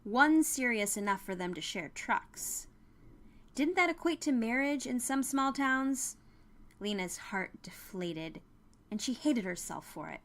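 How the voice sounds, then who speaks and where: A woman's voice: fairly high pitch, animated, measured pace, a bit bright. A woman narrating a scripted story as an audiobook-style storyteller. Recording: music under the voice.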